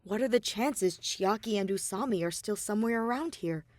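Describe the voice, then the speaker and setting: A woman's voice: medium pitch, moderate pace, dry recording, studio quality, close to an everyday voice. A woman voicing an earnest, anxious young man who questions things in a hesitant, nervous way. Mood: uneasy.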